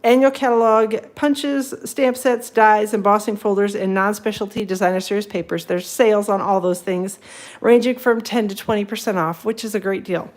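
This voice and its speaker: A chatty woman runs a crafting livestream, a little sniffly from a cold. She rambles between tips, greets viewers by name and laughs easily. Her voice sits at an ordinary middle pitch. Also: steady tone, normal speed. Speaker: papercraft livestream host. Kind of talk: casual livestream demo.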